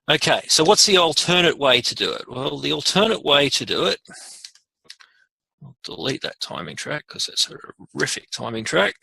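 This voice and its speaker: A man presenting a casual software tutorial, informally thinking aloud as he demonstrates each step. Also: medium pitch, gravelly, breathy edge, no room sound, rough recording. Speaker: webinar presenter.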